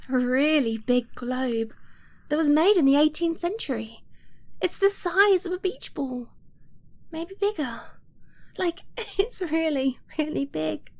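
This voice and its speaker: A woman voicing an affectionate young dragon-blooded girlfriend in an ASMR romance roleplay, soft and breathy, often near a whisper, tender and confiding. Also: high-pitched. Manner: tender, unhurried, giggly, confiding.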